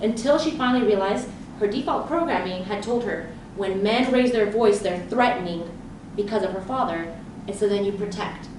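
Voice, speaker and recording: A woman's voice: mid-range voice, normal speed, hardly any pauses. Woman coaching in a very echoey room. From grew up in Southern California. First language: Romanian. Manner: lively storytelling with quoted inner thoughts. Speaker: leadership coach and speaker. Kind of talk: live conference presentation. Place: event room with an audience. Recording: very echoey room, voice far from mic, background noise.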